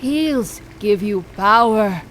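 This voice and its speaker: A woman's voice: medium pitch, expressive, takes it slowly, fairly bright tone, a little hiss or hum. A woman playing a flamboyant, confident and teasing best friend in an audio drama, theatrical. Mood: sassy. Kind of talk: full-cast audio drama acting.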